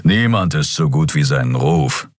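A man voicing a gruff, furry male alien warrior who growls curt replies. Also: extremely low pitch, expressive, normal speed, no echo, clean studio sound, pitched below the performer's own voice.

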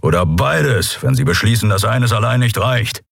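A man voicing a gruff, scarred male non-human ship engineer who talks bluntly and growls. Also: lowish voice, lively intonation, normal speed, fairly bright tone, dry recording, clean studio sound, pitched above the performer's own voice, exaggerated voice.

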